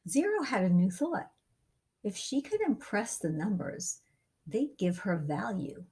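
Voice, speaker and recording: A woman reading a picture book aloud as a storyteller, with expressive, deliberate and dramatic phrasing for young listeners. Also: medium pitch, slightly rough. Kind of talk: expressive children's read-aloud narration.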